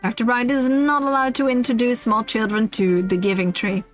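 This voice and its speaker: A woman's voice: on the high side, steady tone, normal speed, faint music behind. A woman streamer reading a comic list aloud on a livestream, giggly and often breaking off into casual asides.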